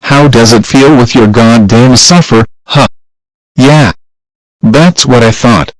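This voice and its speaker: A man's voice: mid-range voice, slow pace, no echo, lightly acted, poor audio. A man playing a gloating, taunting male clone. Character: boastful male clone witness.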